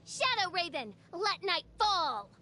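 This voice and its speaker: An actress voicing a theatrical young self-styled princess in an anime game, speaking in pompous, archaic, melodramatic phrases. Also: female voice, very high-pitched, big pitch swings, slow pace, bright, forceful tone, full character voice. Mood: haughty, theatrical. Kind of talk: anime game character voice lines.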